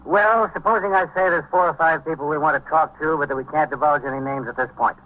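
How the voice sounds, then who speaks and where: An old radio-drama police chief, played by a man, with a fairly high male voice and American accent. He is brisk, plainspoken and in charge. The recording is dull, with faint noise. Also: close to an everyday voice. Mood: businesslike. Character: busy, collegial male police chief of detectives.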